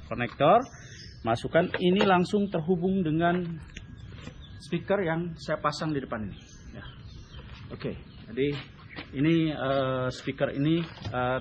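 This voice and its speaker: Seorang pria berlogat Indonesia menjelaskan perlengkapan secara santai, agak tersendat dan sering menyelipkan penegasan pendek di ujung kalimat. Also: male voice, mid-range voice, a little mellow. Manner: explanatory, halting, often tags phrases with "ya". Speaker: amateur radio hobbyist and touring rider presenting his gear. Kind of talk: informal explainer and equipment demonstration. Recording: dull lo-fi sound with light background noise.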